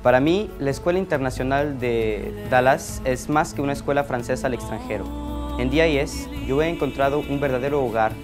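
A man's voice: mid-range voice, brisk. Young man reciting an earnest testimonial. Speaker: student. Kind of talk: scripted school promo testimonial. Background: music.

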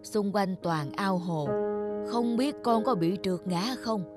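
Gentle old country grandmother played by an actress. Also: medium pitch, slow pace, close to an everyday voice. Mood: worried, loving. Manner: tender, patient, explanatory. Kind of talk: animated folk tale dialogue. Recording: music under the voice.

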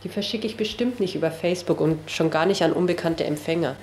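A woman's voice: fairly low pitch, quick pace, background noise, lightly acted. A woman playing a cautious, flirting artist and mother who reads chat messages in a radio play. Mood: cautious, flirtatious.

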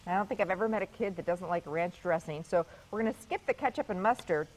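A woman's voice: on the low side, fast, a little hiss or hum, poor audio. A woman, hosting a morning TV cooking segment in a studio kitchen and chatting cheerfully as she cooks. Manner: chatty, upbeat, talks while cooking.

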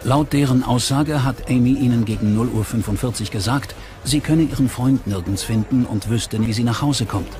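A man's voice: mid-range voice, moderate pace, over music. A man reading scripted true-crime documentary narration as the narrator.

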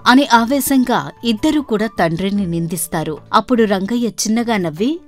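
A woman reading scripted narration as a storyteller for an animated tale. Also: mid-range voice, normal speed. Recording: voice over background music. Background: background music.

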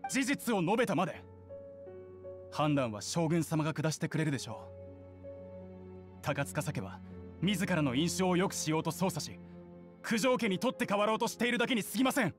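An actor playing an earnest young male clan heir in an anime game, speaking politely, formally and deliberately. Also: sits high, sing-song, over music. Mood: earnest, accusing. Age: young adult. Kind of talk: anime game character acting.

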